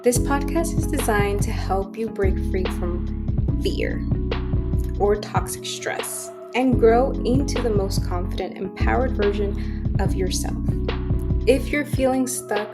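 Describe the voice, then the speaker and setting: Earnest woman podcast host over loud guitar. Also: on the high side. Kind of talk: podcast interview. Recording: loud guitar music behind voice. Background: guitar music.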